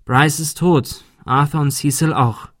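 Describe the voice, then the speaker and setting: A man's voice: a little high, steady tone, measured pace, a bit bright, dry recording, clean studio sound, voice pushed up. A man voicing an earnest detective in an audiobook, reasoning urgently with a dangerous opponent. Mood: placating. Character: earnest male detective reasoning with an armed killer.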